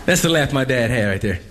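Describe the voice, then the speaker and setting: A young man performing a stand-up comedy set on a club stage, telling animated stories and acting out characters. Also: medium pitch. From Columbus, Ohio. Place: comedy club stage before a laughing crowd. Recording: live club recording with crowd laughter and noise.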